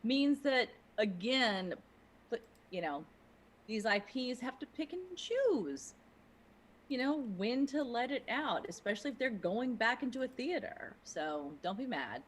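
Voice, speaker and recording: A woman's voice: fairly high pitch, big pitch swings, a little slow, slight background noise. A woman chatting casually and emphatically, repeating words for stress. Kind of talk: casual chat.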